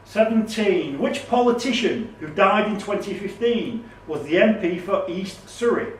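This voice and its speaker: High-pitched male quizmaster, echoey. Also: expressive, measured pace. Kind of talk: reading quiz questions aloud and ruling on answers. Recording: roomy, distant, noisy recording.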